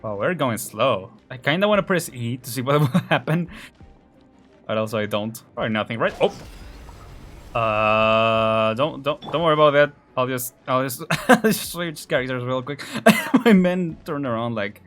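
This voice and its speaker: A man's voice: medium pitch, animated, normal speed, fairly bright tone. A man giving casual, chatty, amused live commentary as he streams a game. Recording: music behind the voice.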